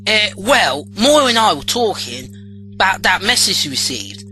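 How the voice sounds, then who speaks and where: An actor playing a loyal young male companion in an audio drama, speaking in a folksy, rough-grammared way. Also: mid-range voice, big pitch swings, normal speed, sharp tone, faint music behind, poor audio. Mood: earnest.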